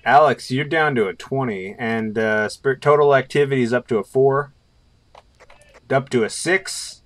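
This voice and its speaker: A man's voice: mid-range voice, no room sound. A man streaming a co-op horror game, chatting casually and laughing with friends over voice chat. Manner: laid-back, slow-talking, laughs often.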